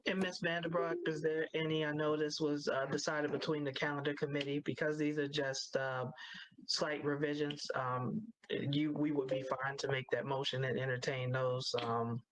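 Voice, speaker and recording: A man chairing a board meeting, reading motions and calling for votes in a halting, procedural way. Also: fairly high pitch, level tone, fairly bright tone, poor audio.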